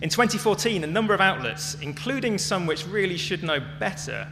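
A man giving a prepared public talk as a speaker, telling stories in a measured, dramatic way. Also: sits high, big pitch swings, background noise. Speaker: conference speaker. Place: large hall, talk to an audience. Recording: echoey hall with music behind.

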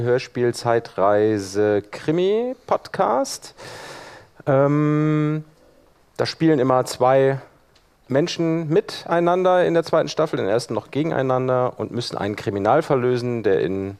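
Guy giving a laid-back software tutorial live in a room, kinda thinking out loud, medium pitched voice. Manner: casual, thinking aloud while demonstrating. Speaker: workshop presenter. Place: live talk in a conference room.

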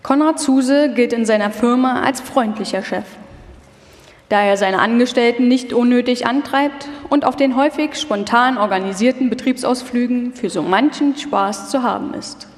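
A woman's voice: fairly high pitch, moderate pace. A woman reading scripted documentary narration as the narrator of a live radio feature. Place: large reverberant hall. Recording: long hall reverb, live performance.

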